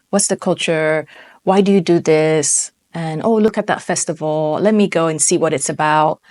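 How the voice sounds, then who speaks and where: A travel vlogger, a woman from Ethiopia who grew up in Ivory Coast, talks straight to camera in an animated, chatty way. Her voice sits on the low side, and she leans on casual fillers as she tells her stories. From born in Ethiopia, raised in Ivory Coast. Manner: animated, chatty, frequent "like" and "you know".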